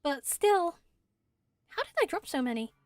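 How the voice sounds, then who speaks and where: A small, timid forest spirit from a fantasy game, acted by a woman, with a very high, swooping voice. It speaks in a hesitant, dreamy ramble, wide-eyed and earnest. The sound is dry and close over music. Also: lively intonation, a little slow, darkish tone, no room sound. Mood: wistful, earnest. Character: timid, dreamy little forest spirit, just woken and dizzy.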